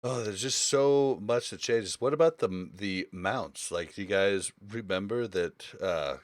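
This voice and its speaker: A man with a fairly low voice hosts a casual gaming chat. The sound is dry and close. He talks informally, feeling his way through phrases as he thinks out loud. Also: a little slow, dry recording. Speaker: podcast host.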